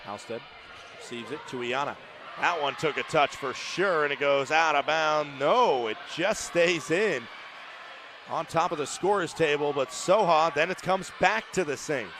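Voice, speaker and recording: A man doing live play-by-play commentary on a college volleyball match, who rises to shouts on big plays. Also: a little high, measured pace, background noise.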